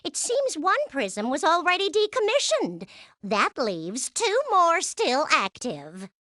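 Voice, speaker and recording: Cartoon game alien gem subordinate: high and very animated, prim, fussy, eager, theatrical and militant. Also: female voice, takes it slowly, dry recording, studio quality, exaggerated voice. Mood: officious. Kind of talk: animated game cut-scene acting.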